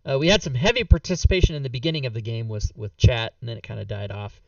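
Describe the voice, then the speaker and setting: A man's voice: mid-range voice, quick pace, creaky, no room sound, distant mic. A male game master winding down a stream in a loose, rambling way, full of "uh"s, his voice starting to go. His mic sits a bit back from him. The sound is dry and dull. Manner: rambling, relaxed, lots of fillers. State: losing his voice. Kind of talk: casual end-of-stream chat.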